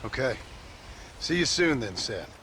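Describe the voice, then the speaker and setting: An actor playing a gruff, weary, blunt gunslinger with an American Western accent in a video game. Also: male voice, mid-range voice, big pitch swings, a little slow, very noisy. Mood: gruff, wry. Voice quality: gravelly. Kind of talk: video game cutscene acting.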